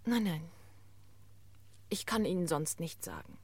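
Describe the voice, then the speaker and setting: An actress playing an unhappy, detached widow in a radio crime play, speaking formally and with measured wistfulness. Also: female voice, fairly low pitch, big pitch swings, breathy edge, full character voice. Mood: controlled.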